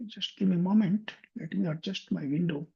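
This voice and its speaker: Man, husky voice, software tutorial, thinking aloud, muffled mic. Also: high-pitched, a little fast. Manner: thinks aloud with restarts while clicking through screens. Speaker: software instructor. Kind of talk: screen-share software tutorial.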